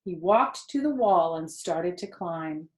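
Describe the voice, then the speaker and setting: A woman reading a children's story aloud expressively, pausing to explain things to young listeners. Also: lowish voice, lively intonation. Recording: roomy indoor echo.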